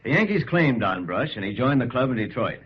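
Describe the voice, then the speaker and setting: An actor playing a keyed-up sidekick who narrates the story in dramatic first-person radio style. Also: male voice, mid-range voice, big pitch swings, fairly quick, slightly rough. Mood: tense, wry. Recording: dull old radio recording, loud background noise.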